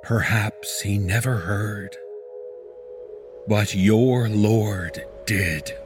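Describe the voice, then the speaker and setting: Man, dramatic horror narration, grave, extremely measured pace, mid pitch, loud music bed. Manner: grave, deliberate, intense. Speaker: story narrator. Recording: prominent music bed behind the voice.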